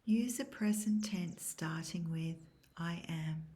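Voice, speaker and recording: A woman guiding a scripted yoga nidra meditation in a soft, breathy, near-whispered voice, calm and measured. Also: fairly low pitch. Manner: calm, measured, soothing instruction. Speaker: guided meditation instructor.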